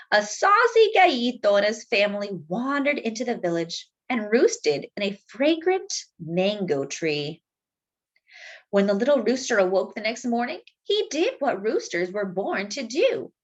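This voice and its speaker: A woman's voice: medium pitch, highs cut off. A woman storyteller reading a picture book aloud expressively, stretching key words and chatting to young listeners. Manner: animated, drawn-out emphasis. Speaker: children's storyteller.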